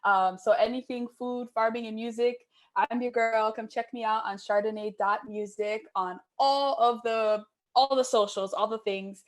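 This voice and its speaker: A woman's voice: a little high, fairly even intonation, moderate pace. A woman from Hawaii, a guest on a casual chat who talks in a bubbly, chatty way. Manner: bubbly, chatty, laughs easily. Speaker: guest, singer and farmer. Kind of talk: casual chat between friends.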